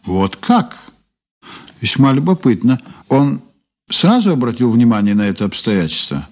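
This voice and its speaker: A sly investigator in a 1980s Soviet radio drama. The voice is husky and swings widely in pitch, staying polite and insinuating with studied casualness. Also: male voice, sing-song, a little slow, darkish tone. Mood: probing. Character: courteous, sly male investigator probing a witness. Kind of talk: Soviet 1980s radio play, dialogue and first-person narration.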